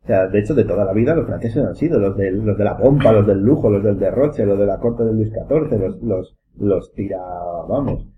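Castilian spanish guy, lowish voice, podcast chat, explains his opinions, bit of noise behind him. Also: normal speed, few pauses. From Spain. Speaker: podcast host. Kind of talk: casual group discussion. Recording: muffled audio with faint hum and noise.

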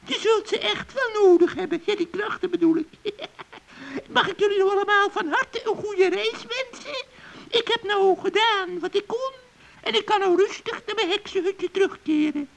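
A performer playing a gloating, spiteful old witch in a children's radio play, with a creaky, cackling voice and a mock-friendly, taunting manner. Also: very high voice, normal speed. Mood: smug, mock-friendly.

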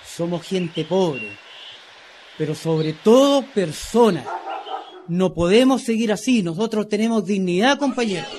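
A man's voice: high voice, expressive, measured pace. A man playing an earnest Chilean community leader in a radio drama, exhorting and pleading like an orator at an assembly. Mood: urgent, resolute. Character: earnest male community leader of poor shantytown dwellers. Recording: noisy recording with crowd clamour behind.

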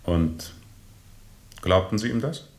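Sober interrogator, radio play. Very low voice with lively intonation, terse and insistent. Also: male voice, slow, heavily acted. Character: sober, probing male questioner pressing a witness for facts. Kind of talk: radio drama dialogue.

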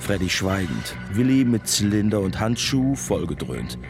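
A man's voice: lowish voice, big pitch swings, moderate pace, music in the background. An actor playing a middle-aged man who narrates his village youth in a radio drama, dry, wry and literary. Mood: rueful, dry. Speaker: first-person narrator.

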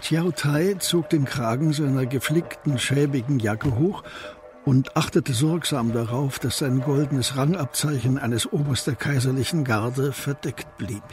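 A man narrating a historical crime radio play in a measured, literary style, as a sober storyteller. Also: mid-range voice, over music, lightly acted. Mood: sober, suspenseful.

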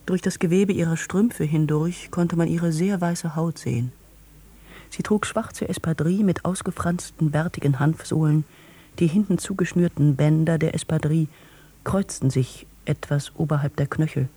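A man's voice: a little high, normal speed, mellow, slightly rough. A man reading literary prose as a scripted narration.

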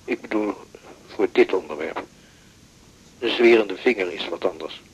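A man's voice: low voice, measured pace, husky, muffled recording, full character voice. An actor playing a reserved male patient, hesitant, formal and politely insistent, in a naturalistic radio drama. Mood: awkward, insistent.

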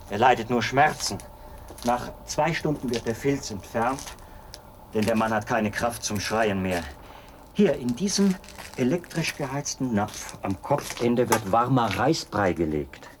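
A man's voice: mid-range voice, natural voice. Zealous, pedantic officer, played by a man, noisy recording. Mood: fervent explaining. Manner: precise, explanatory, emphatic. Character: zealous male execution officer, pedantic, devoted to his machine. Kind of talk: radio drama monologue.

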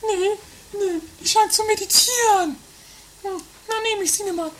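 A woman's voice: extremely high pitch, moderate pace, very noisy. A woman playing a scheming, scatterbrained thief who mutters to herself in a giggly, conspiratorial way in a comedic radio play. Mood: sneaky, gleeful. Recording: loud music under the voice.

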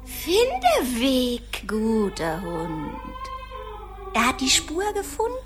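A woman's voice: on the high side, huge pitch swings, drawn-out words, over music, exaggerated voice. An actress playing a cruel, mocking witch goddess in a radio play, theatrical and gleefully menacing. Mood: eager. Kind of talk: German radio play acting.

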